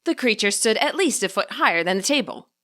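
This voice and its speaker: A woman's voice: medium pitch, animated, brisk, pushed and bright. A woman reading her own fan fiction aloud as a scripted story narration.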